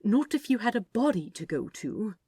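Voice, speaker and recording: A woman voicing an anxious, fussy male angel in an audiobook story, earnest and halting as he explains himself. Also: on the high side, sing-song, warm tone, breathy edge, no echo, clean studio sound, voice pushed up. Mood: earnest, explaining.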